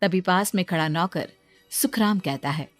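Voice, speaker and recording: A woman narrating a scripted bedtime cartoon story in a calm storytelling manner. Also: lowish voice, moderate pace, soft background music.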